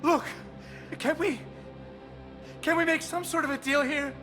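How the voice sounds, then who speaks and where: A man's voice: very high-pitched, steady tone, a little slow, sharp tone, faint music behind, exaggerated voice. An actor playing a panicked, pleading male captive in a video game cutscene.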